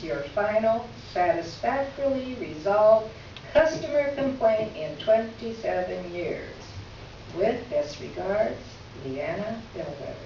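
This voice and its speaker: A woman's voice: mid-range voice, warm tone. A woman playing a gracious, wry letter writer in a staged reading, speaking in formal, articulate phrases. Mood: gracious, earnest. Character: witty, gracious woman writing a candid letter. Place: echoey indoor room, recorded from afar. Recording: echoey room, distant mic, muffled, faint noise.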